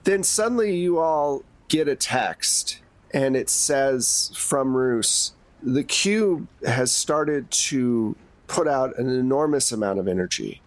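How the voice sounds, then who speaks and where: A man's voice: mid-range voice, animated, slow, no room sound. A man hosting and narrating a tabletop role-playing game as game master, improvising casually.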